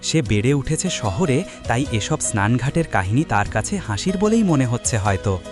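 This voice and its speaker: A man's voice: medium pitch, very animated, normal speed. A man narrating a scripted dramatic love story as a storyteller. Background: music.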